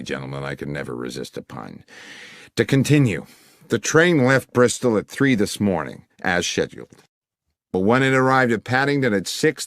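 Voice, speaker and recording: A man's voice: on the low side, no echo, clean studio sound. A man voicing a formal, wordy middle-aged businessman client who is fond of puns, in an audiobook. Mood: jovial. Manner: formal, long-winded, jovially self-amused. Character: anxious middle-aged businessman client, verbose, pun-loving. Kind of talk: audiobook character dialogue.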